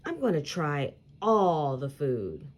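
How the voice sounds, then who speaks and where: Woman reading as an earnest, animated young schoolgirl. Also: sits low, takes it slowly, heavily acted. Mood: determined. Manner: emphatic, expressive storybook dialogue. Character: earnest, particular young schoolgirl in a picture book.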